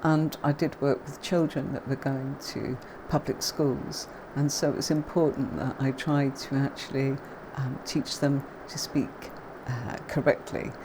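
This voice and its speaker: Low-voiced English woman on a livestream. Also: steady tone, a little slow, noisy background. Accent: neutral southern English, faint Yorkshire. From Yorkshire, England. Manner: chatty, warm greetings to viewers, many fillers. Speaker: tarot reader hosting a livestream. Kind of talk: casual livestream chat and tarot teaching.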